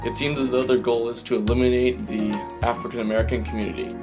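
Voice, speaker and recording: A man playing a deadpan 1920s radio co-anchor reading scripted news. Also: medium pitch, fast, over music, lightly acted. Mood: matter-of-fact.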